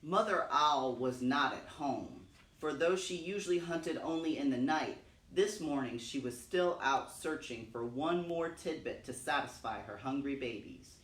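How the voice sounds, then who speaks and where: A man with a medium-pitched voice reads a folk tale for children. His delivery is expressive and dramatic. He sounds set back from the microphone in a roomy, echoing space. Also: a little slow, few pauses, recorded from a distance. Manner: dramatic, repeats phrases for emphasis. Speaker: storytime reader. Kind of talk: expressive read-aloud children's storytelling.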